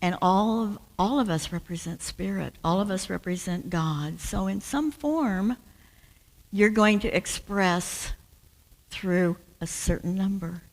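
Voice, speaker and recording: A soft-spoken woman minister explaining a spiritual teaching as a guest on a church stage. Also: mid-range voice, takes it slowly. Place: church sanctuary. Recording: hall reverb from a large sanctuary.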